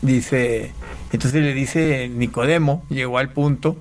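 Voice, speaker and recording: A man from Panama, an interview guest, giving a personal testimony in a casual, halting way with repeated words. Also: medium pitch, fairly even intonation.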